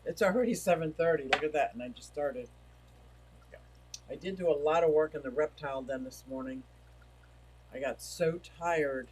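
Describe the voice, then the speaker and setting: A man hosts a relaxed livestream from home, rambling between topics and reading out messages from his chat. His voice sits a little high for a man and he feels it starting to give out. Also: a little hiss or hum, rough recording. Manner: rambling, chatty, reads chat messages aloud. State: losing his voice. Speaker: livestream host. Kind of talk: casual livestream chat reading viewer comments.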